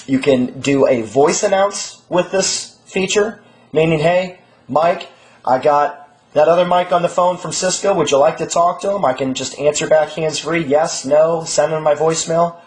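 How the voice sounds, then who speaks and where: Man, 30s, fairly high voice, informal product demo presenter. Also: darkish tone, poor audio. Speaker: presenter, small business product manager. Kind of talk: informal product demonstration tutorial.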